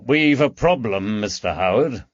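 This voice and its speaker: A man voicing a composed, methodical police detective with a measured, authoritative manner in an audiobook. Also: on the low side, animated, no room sound, muffled recording. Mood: firm. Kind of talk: audiobook character dialogue.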